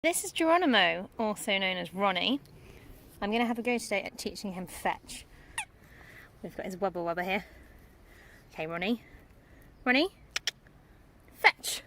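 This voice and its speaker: Female teacher for children's storytelling, bright, clear-cut expression with highly animated intonation. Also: normal speed, pauses often. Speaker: primary school teacher reading aloud.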